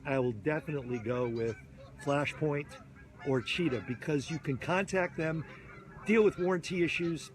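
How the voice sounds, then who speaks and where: A man in his fifties, a bit hoarse from a cold, giving an enthusiastic, rambling gear tutorial outdoors on a field, filmed on a phone at arm's length. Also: fairly high pitch, slight background noise. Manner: chatty, enthusiastic, rambling with restarts. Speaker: photography instructor. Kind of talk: casual gear tutorial to camera. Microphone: phone or camera at arm's length.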